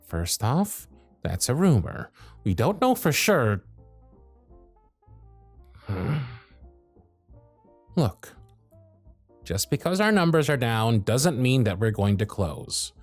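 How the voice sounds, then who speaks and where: A man's voice: medium pitch, big pitch swings, slow pace, long pauses, faint music behind. A man voicing a harried, grumpy male elf supervisor in an audio roleplay, sarcastic and scolding. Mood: pleading. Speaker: workshop supervisor.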